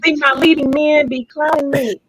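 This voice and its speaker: A woman's voice: high-pitched, steady tone, moderate pace, rough recording. A woman hosting a casual group interview in a playful, teasing, chatty way. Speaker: talk show host.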